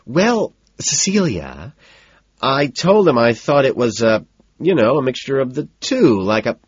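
A man voicing a nervous, ingratiating young yuppie in an audiobook story, halting and deliberate, slyly insistent. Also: a little high, wildly swooping pitch, breathy edge, no room sound, lo-fi sound, voice pushed up, full character voice. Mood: nervous, blabbering. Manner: halting, deliberate, over-eager.

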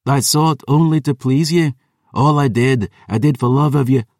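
A man voicing a vengeful vampire woman who pleads in high, drawn-out tones, in an audiobook. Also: a little mellow, no room sound, studio quality, natural voice. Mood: pleading, hurt. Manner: high, drawn-out, hissing pleas. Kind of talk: audiobook character voice.

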